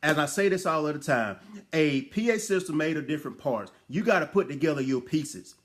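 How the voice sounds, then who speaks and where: Emphatic male motivational speaker, higher-pitched. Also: animated, fairly quick. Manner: emphatic, direct address with rhetorical questions and repeated phrases; colloquial, folksy phrasing. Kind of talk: motivational talk, preacher-like exhortation.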